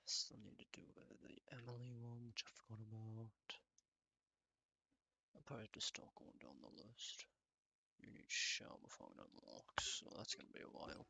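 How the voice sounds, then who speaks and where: A man's voice: mid-range voice, little pitch movement, normal speed, gravelly, sharp tone, no room sound. A man giving casual, rambling video game commentary, half muttering to himself as he plays. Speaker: gaming streamer. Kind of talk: casual solo gameplay commentary.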